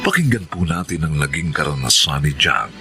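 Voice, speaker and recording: A man's voice: a bass voice, sing-song, brisk, creaky. A man narrating a Filipino radio drama as its announcer, solemn and theatrical in a scripted style. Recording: music bed under voice.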